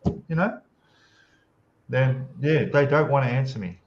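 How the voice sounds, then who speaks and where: A man hosts a relaxed live stream hangout, talking loosely and laughing easily with his friends. His voice sits at an ordinary pitch. The audio is a little dull and lo-fi, as if it came through a modest call setup. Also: a little slow. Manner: laughs easily, chatty and joking. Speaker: channel host. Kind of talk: casual live stream chat.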